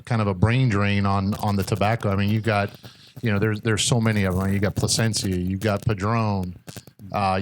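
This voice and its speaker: An American man hosting an interview in a casual, chatty style. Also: lowish voice. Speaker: interviewer, host. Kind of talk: casual chat.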